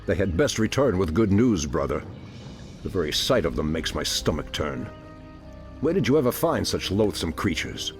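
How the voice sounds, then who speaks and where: A man's voice: deep voice, very animated, normal speed, faint music behind. A man voicing a stern, distrustful warrior leader with a grave, commanding manner in a video game. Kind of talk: video game cutscene voice acting.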